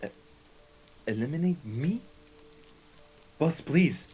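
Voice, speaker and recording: A man's voice: fairly high pitch, animated, slow, warm tone, husky, full character voice. Man acting a devoted mafia henchman, music behind. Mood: shocked, pleading. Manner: submissive, earnest, addressing a superior. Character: loyal, deferential male mafia henchman, earnest and devoted. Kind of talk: scripted ASMR roleplay drama.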